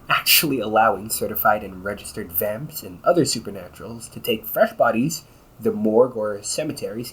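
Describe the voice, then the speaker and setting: A man's voice: lowish voice, lightly acted, poor audio. A man playing a cocky, weary, centuries-old but young-sounding vampire brother in a conversational audio roleplay, grumbling with dry sarcasm. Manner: sarcastic, grumbling, often chuckling. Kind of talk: audio roleplay, conversational one-sided dialogue.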